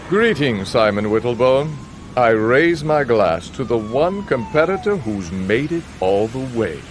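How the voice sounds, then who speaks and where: A sinister contest master voiced by a man narrating a video game with grand theatrical flair. His mid-pitched voice is measured and dramatic, over clearly audible noise. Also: close to an everyday voice.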